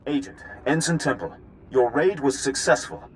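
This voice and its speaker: An actor playing a cautious military intelligence officer in a video game, giving a formal, precise briefing. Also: male voice, mid-range voice, lively intonation, moderate pace, a little hiss or hum, close to an everyday voice. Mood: measured, strategic.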